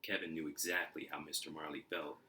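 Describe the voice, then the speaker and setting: A man reading a children's storybook aloud in an expressive, deliberate narrating style. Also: a bass voice, a little hiss or hum. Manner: expressive, deliberate, acts out sounds. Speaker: storybook reader.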